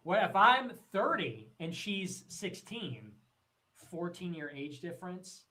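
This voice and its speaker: Man with very animated, swinging pitch. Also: slow pace, poor audio. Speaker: commentator in a played clip. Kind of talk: informal opinion monologue.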